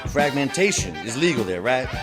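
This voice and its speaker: A man's voice: mid-range voice, very animated, normal speed, close to an everyday voice. A man playing a curious, laid-back movie hitman in a stilted radio comedy. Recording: loud guitar music behind dialogue.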